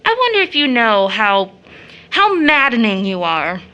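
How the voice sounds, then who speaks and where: A woman's voice: fairly high pitch, sing-song, a little slow, bright, forceful tone, highs cut off, full character voice. An actress playing a proud, bitter young woman who is kind to children, in a scripted audio drama. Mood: indignant.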